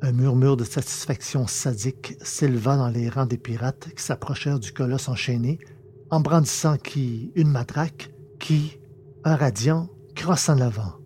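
Mellow-voiced male storyteller. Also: medium pitch, slight background noise. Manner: measured, dramatic storytelling. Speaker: narrator. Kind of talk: scripted audiobook narration.

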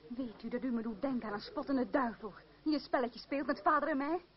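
A woman's voice: sits high, a little fast, close to an everyday voice. A woman acting a proud, wary young actress in an old radio drama, speaking formally and with theatrical reproach. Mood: suspicious, accusing. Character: young actress Climène, proud, wary, won over by love. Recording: dull, noisy old radio play recording.

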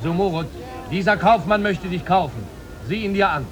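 Man acting as a high-pitched, declamatory bazaar auctioneer. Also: moderate pace, crowd noise, lightly acted. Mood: mocking, coaxing. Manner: declamatory, ornate sales patter. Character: slave-market auctioneer, florid and theatrical crier. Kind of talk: 1950s German radio drama acting. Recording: noisy 1950s radio recording.

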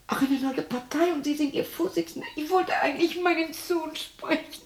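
An actress playing a lonely, embittered widow in her sixties, muttering erratically to herself in a husky, breathy radio play monologue. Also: sits high, fairly even intonation, a little hiss or hum. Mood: agitated. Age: 60s. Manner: muttering, brooding, erratic, halting. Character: lonely, embittered sixty-year-old widow talking to herself.

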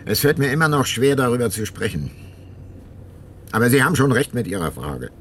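An actor playing an ageing, remorseful detective and former prosecutor in a radio drama, narrating and talking in a measured, reflective way. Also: male voice, medium pitch, big pitch swings, a bit bright, slight background noise. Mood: confessional. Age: older. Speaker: narrator and lead character.

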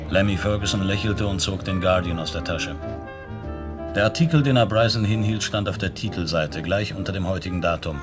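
An actor playing a composed narrator, reading measured scripted narration in a radio drama. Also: male voice, sits low, close to an everyday voice. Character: composed male narrator of a science-fiction story. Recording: music bed under the voice.

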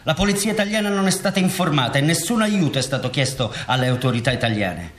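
A man's voice: a little high, expressive, fast talker, natural voice. A man playing a dead guard commander in a radio drama, delivering formal statements in an official manner. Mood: sober, formal. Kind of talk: scripted radio drama monologue.

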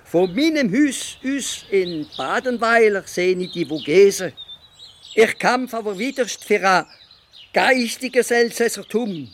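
An actor playing a sardonic, idealistic Alsatian newspaper editor in a radio play, speaking colloquial dialect with an Alsatian accent. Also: male voice, sits high, animated, takes it slowly, a bit bright, slight background noise, heavily acted.

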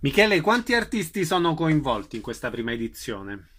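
A man's voice: fairly high pitch, big pitch swings, a little fast, a bit bright, breathy edge. A man hosting a radio programme, putting questions to a guest and giving show information. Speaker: radio interviewer and host. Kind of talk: radio interview and announcements. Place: radio broadcast.

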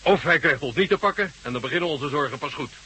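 An actor playing a wry, world-weary, cautious space marshal in a science-fiction radio drama. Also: male voice, a little high, lively intonation, quick pace, pushed and bright, husky, heavily acted. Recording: slightly noisy old radio recording.

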